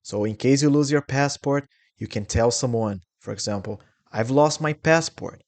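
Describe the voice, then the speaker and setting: Brazilian-accented male English teacher, mid-pitched, patient and clear, repeats phrases for learners. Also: normal speed. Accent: Brazilian Portuguese. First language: Portuguese. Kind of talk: English lesson.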